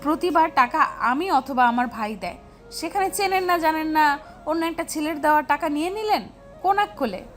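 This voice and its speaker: A woman's voice: high voice, animated, moderate pace, a bit bright. A woman playing a feisty, easily annoyed teenage schoolgirl in an audio story, with music underneath. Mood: angry, indignant. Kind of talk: Bengali audio story acting.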